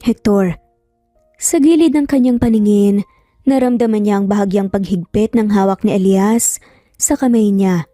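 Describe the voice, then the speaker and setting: A woman's voice: medium pitch, moderate pace, warm tone, dry recording, clean studio sound, soft background music. A woman narrating a scripted dramatic story.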